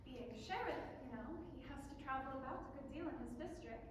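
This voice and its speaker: A woman's voice: high voice, lively intonation, brisk. A woman acting a timid, unhappily married younger woman in a staged drama reading, earnest and hesitant. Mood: earnest, uneasy. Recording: very echoey hall from far off, faint noise.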